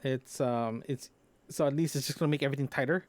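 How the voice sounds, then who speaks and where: A man with a medium-pitched voice talks off the cuff, as in a personal podcast diary. He rambles and halts, circling back to repeat or rephrase his words. The sound is close, compressed and very dry, with no room echo. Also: quick pace, a little mellow. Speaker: podcaster recording a personal audio log. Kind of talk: casual unscripted solo chat. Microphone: close microphone into a recorder, compressed.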